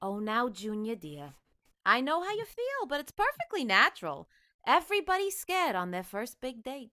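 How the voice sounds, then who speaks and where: A woman playing a calm, reassuring mother and wife in a vintage-style radio play. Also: fairly high pitch, moderate pace, exaggerated voice. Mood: soothing. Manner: coaxing, reassuring. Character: soothing, sensible middle-aged mother and wife.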